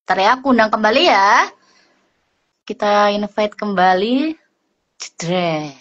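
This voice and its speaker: A woman's voice: mid-range voice, animated, slow pace, a bit bright, highs cut off. A woman co-hosting a casual live stream, chatty and reassuring with frequent little affirmations. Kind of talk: casual live chat, encouraging listeners.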